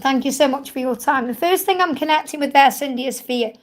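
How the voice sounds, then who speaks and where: High-pitched woman reading cards live, slightly croaky. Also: brisk. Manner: gentle, reassuring, addresses viewers as honey. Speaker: spiritual card reader hosting a live Q&A. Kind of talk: live-stream card reading, chatty.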